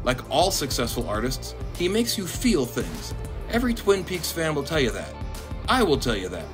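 A man narrating a scripted video essay in a dry, monotone, deadpan way. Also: a little high, normal speed, over music. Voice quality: monotone delivery. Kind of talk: scripted commentary.

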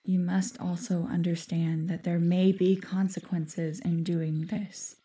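A woman playing a solemn, guarded forest guardian spirit in a fantasy audio drama, speaking formally and in measured, breathy half-whispers. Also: fairly low pitch, little pitch movement, warm tone, distant mic.

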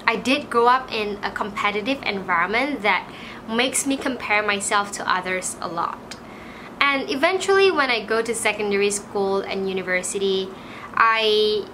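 A young woman in her twenties speaks with a Malaysian English accent and a bright, clear tone. She tells a personal story in a relaxed, chatty way, sprinkling in "like" as she goes. A faint room hum sits behind her voice. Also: fairly high pitch, low-quality recording. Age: 20s. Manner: chatty, frequent "like" fillers, animated. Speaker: vlogger. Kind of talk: casual storytelling to camera. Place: small room indoors.

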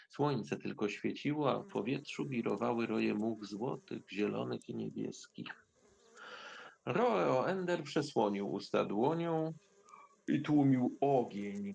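Gravelly, husky male storyteller. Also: lowish voice, a little mellow, low-quality recording. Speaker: narrator. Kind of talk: dramatic scripted fairy-tale narration.

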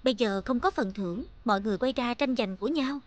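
A woman's voice: a little high, fast talker, natural voice. An actress playing a thoughtful, concerned superhero mother in a cartoon, reasoning things through. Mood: pensive. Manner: reflective, reasoning.

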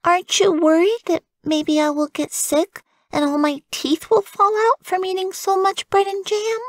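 A woman's voice: very high voice, moderate pace, no room sound, clean studio sound, voice pushed up. A woman voicing a young badger girl in a storybook, plaintive and stubborn, childlike and given to little songs. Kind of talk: storybook character voice.

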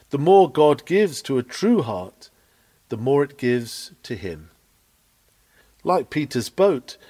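A man reading a written sermon aloud in an earnest, oratorical manner with measured phrasing. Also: mid-range voice, a little mellow. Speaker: sermon reader.

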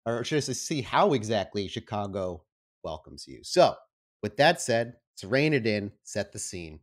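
A man with a fairly low voice hosts a tabletop roleplaying show as its game master. He speaks in an informal, enthusiastic way. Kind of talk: casual announcements, then narrating a tabletop game.

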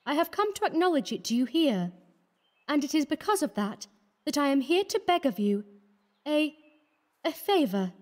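A man reading an audiobook, voicing an earnest, pleading young woman of the artists' quarter in a soft, reproachful manner. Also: sing-song, moderate pace, long pauses. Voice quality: high and fluttering.

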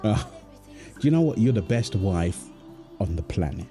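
A man's voice: low voice, very animated, normal speed, mellow, soft background music. A man playing a tender, playful young husband and doting father in an ASMR roleplay, soothing and teasing as he talks. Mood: affectionate, teasing. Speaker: voice actor of a roleplay audio. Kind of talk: ASMR boyfriend roleplay, acted one-sided dialogue.

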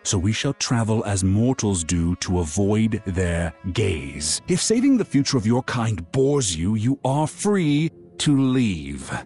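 Deep-voiced, stern dragon lord. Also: male voice, very animated, mellow, faint music behind. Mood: stern, irritated. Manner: formal, commanding, measured. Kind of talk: fantasy game cutscene acting.

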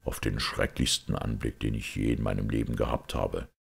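A grave, sly priest of a detective audiobook, acted out by a male reader. He speaks slowly and solemnly in a hushed, extremely low voice with a husky grain. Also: little pitch movement, full character voice. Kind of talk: audiobook character voice.

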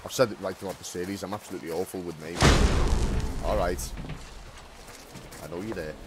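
A man giving casual, chatty commentary over a game playthrough, his voice croaky from just waking up. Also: low-pitched, fairly even intonation, a little fast, loud music behind the voice. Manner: chatty, self-deprecating. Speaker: gameplay commentator. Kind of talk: casual let's-play commentary.

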